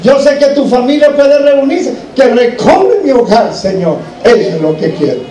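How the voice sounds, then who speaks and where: A man's voice: very high-pitched, lively intonation, moderate pace, warm tone. A man preaching an evangelical sermon to a congregation, exhorting them with rhetorical questions and repeated phrases. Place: large echoing room, church service. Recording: roomy echo, distant microphone.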